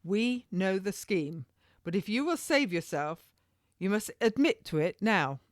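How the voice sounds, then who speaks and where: A woman plays a menacing male official in a historical audiobook. He is mocking and accusatory, pushing his formal, old-fashioned words out higher and louder, in a dry, close recording. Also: very animated, unhurried, no echo. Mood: accusing. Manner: formal, accusatory, archaic speech.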